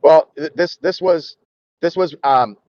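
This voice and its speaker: A man's voice: fairly high pitch, a little slow, a little mellow, no echo, dull audio. A man in a casual discussion, speaking hesitantly with many fillers.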